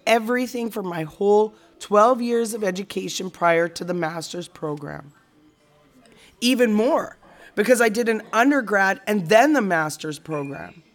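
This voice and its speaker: A woman's voice: mid-range voice, animated, unhurried. A woman playing a reflective, frustrated teacher in a naturalistic audio drama conversation. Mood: reflective, earnest. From Canada.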